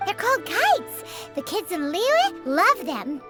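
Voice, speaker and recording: A tiny cheeky fairy sidekick in a video game, extremely high and squeaky. She chatters in a chirpy, opinionated way with bouncy intonation. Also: huge pitch swings, takes it slowly, sharp tone, soft background music, heavily acted.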